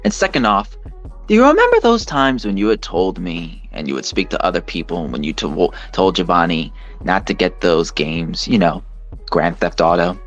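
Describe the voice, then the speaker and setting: A man's voice: sits low, very animated, moderate pace, highs cut off, faint music behind. A man delivering a monologue to an audience in wordy, formal, pointed phrasing. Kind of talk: monologue addressed to camera and audience.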